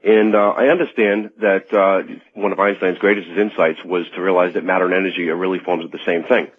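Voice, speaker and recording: A man calling a radio show by telephone, talking in a casual, halting way. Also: deep voice, steady tone, slightly rough. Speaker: phone-in caller. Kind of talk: conversational radio call-in. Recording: narrow lo-fi phone line.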